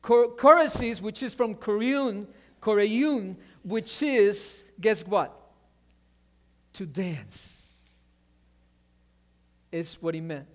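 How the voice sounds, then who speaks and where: Man preacher, high voice, first language Spanish, fervent, calls for amen. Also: extremely slow, long pauses. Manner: animated preaching, repeats words, asks amen. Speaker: pastor. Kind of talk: sermon.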